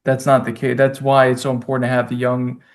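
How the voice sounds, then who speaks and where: Forties man, security manager type, talks in halting restarts. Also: medium pitch, monotone. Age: 40s. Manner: halting, restarts often, repeats words. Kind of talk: casual conversation.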